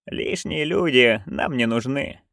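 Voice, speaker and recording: A storyteller voicing a stubborn old witch woman who speaks curtly, slowly and quietly. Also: mid-range voice, no echo, clean studio sound. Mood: stern. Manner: curt, flat, slow and quiet.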